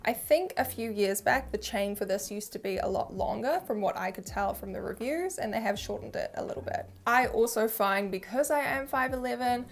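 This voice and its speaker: A woman's voice: fairly high pitch, moderate pace, hardly any pauses. A young woman with a New Zealand accent, chatting candidly to camera as she reviews a handbag, self-deprecating and open.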